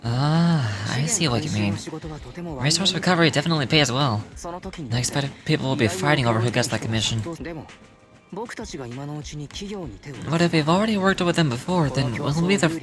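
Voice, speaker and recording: A woman voicing a calm, wry young male video store manager, reading game dialogue in a measured way. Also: deep voice, a crowd in the background, pitched below the performer's own voice. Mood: thoughtful. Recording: music behind the voice. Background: game music.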